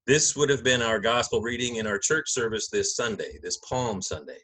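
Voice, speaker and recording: Husky-voiced male pastor. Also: mid-range voice, moderate pace, pushed and bright. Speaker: clergyman and narrator of a gospel reading. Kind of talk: spoken pastoral message and scripture reading.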